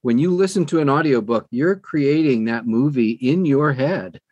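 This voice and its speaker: A man's voice: medium pitch, moderate pace, darkish tone, dry recording, lo-fi sound. An older American man chatting casually as an interview guest. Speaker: guest, audiobook narrator and publisher.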